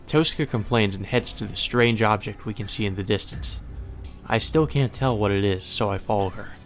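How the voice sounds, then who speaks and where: Low-voiced man reading a visual novel aloud. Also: moderate pace. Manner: informal, swears in asides. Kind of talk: narrated read-through with casual side comments. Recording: music playing behind the voice. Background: background music.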